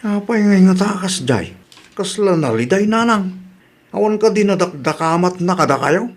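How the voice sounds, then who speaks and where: Man as grown son, Ilocano Filipino accent, fairly high pitch, casual, concerned, radio drama. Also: expressive, moderate pace.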